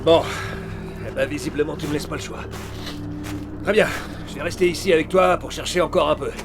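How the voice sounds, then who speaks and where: Man acting a high-voiced, wary mountain guide. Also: fairly quick, music in the background, lightly acted. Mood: resigned, firm. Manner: blunt, cautioning. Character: seasoned male mountain guide, wary and firm. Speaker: voice actor. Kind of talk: French audio fiction acting.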